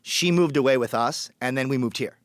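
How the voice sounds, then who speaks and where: Colombian-born guy telling his story, blunt and jokey, does voices for people, dry mic, middle pitch. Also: fairly quick, no room sound. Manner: blunt, joking, swings his voice acting out quoted people. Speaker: podcast guest. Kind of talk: casual storytelling.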